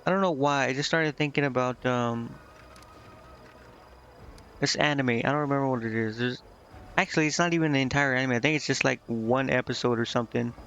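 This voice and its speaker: Gravelly man rambling on a game stream. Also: medium pitch, a little fast, fairly bright tone. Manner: hesitant, vague, meandering. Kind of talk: casual rambling game stream commentary, reading dialogue aloud. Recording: dull lo-fi mic with clear background noise.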